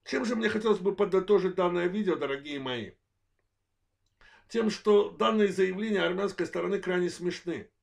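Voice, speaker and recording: A man in his forties from Azerbaijan with a worn voice, delivering a political analysis monologue in measured, halting short phrases as host of his own channel. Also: high voice, creaky. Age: 40s. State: voice worn, apologizes for it.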